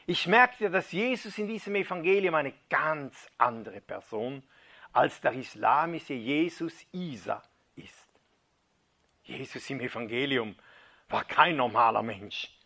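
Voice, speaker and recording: A man preaching, retelling a first-person conversion story as a dramatic reading that drops to a hushed near-whisper at key moments. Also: on the high side.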